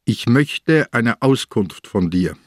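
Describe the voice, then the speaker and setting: An actor playing a sick old police commissioner, blunt and insistent, in a German radio crime drama. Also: male voice, medium pitch, animated, slow pace, no room sound, clean studio sound. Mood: probing, dry. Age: older.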